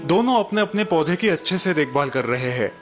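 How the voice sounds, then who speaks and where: Brief: male storyteller, slightly high voice, even scripted delivery, faint music bed. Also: fairly quick. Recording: music bed behind narration.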